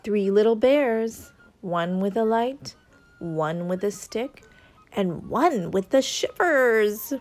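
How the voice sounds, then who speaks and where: Slow-paced woman reading a children's story. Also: medium pitch, lively intonation, darkish tone. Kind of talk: scripted picture-book read-aloud. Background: music.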